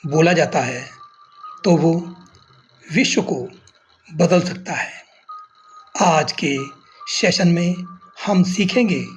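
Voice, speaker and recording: An Indian man narrating a guided meditation in short, measured phrases with pauses between them. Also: on the high side.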